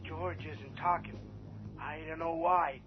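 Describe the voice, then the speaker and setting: An actor playing an uneasy, laconic rural conspirator with colloquial speech in a 1980s radio horror drama. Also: male voice, on the high side, fairly even intonation, takes it slowly. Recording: dull radio recording with music.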